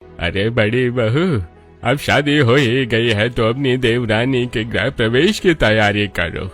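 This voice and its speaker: A man voicing an elderly, authoritative, grumbling grandfather in a cartoon family story, in a measured instructing manner. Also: medium pitch, a bit bright, faint music behind. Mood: firm, counselling.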